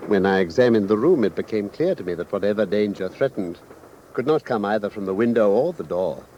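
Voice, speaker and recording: An actor playing a shrewd, commanding gentleman detective in a British radio drama, speaking in a precise, articulate, authoritative manner with a British accent. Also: on the low side, animated, a little fast, lo-fi sound, lightly acted. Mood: calm, explanatory. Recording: noisy old radio drama recording.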